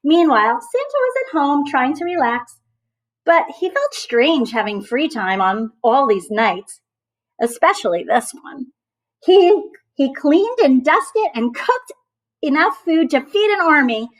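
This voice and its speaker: A woman with a high voice reads a picture book to children. She is expressive and emphatic, like a teacher, breaking off to chat directly to her young listeners. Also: measured pace, low-quality recording. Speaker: teacher reading aloud to children. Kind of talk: storybook read-aloud with asides to children.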